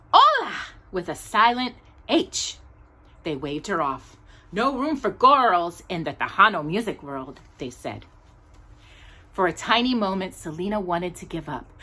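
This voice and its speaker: Female storytime reader, husky tone, lively intonation, expressive emphasis for a picture book audience of children. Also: medium pitch. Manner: animated, emphatic storytelling with pauses on key words. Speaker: storytime host reading a children's book aloud.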